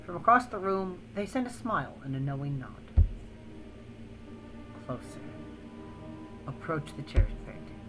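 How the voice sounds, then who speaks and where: Lower-voiced woman narrating over loud music. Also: lively intonation, a little slow, long pauses, low-quality recording. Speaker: narrator and player reading a visual novel. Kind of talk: expressive second-person story reading. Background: music louder than usual.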